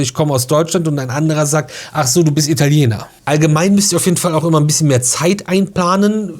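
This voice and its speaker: A man's voice: fairly high pitch, darkish tone. A young man hosting a travel vlog, giving casual, chatty tips in an informal, slangy way.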